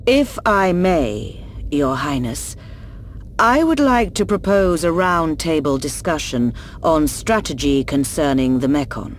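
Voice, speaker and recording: A woman playing a deferential, calculating court minister in a game cutscene, formal and deliberate. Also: deep voice, expressive, slight background noise. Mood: composed, deferential. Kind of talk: dramatic video game cutscene acting.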